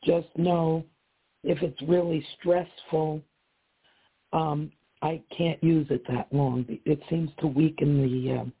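A hoarse woman caller speaks slowly and hesitantly, her voice raspy and gravelly. She is heard over a thin, tinny phone line. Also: low voice, fairly even intonation. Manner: slow, hesitant, many ums.

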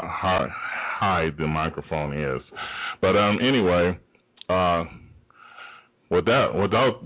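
A man with a very deep, low voice hosts a radio talk show, rambling and stopping to restart his sentences. He is heard over a thin cell phone line. The sound is dry, with no room behind it. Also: no echo. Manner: rambling and halting, with many fillers and restarts. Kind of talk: casual call-in talk show hosting.

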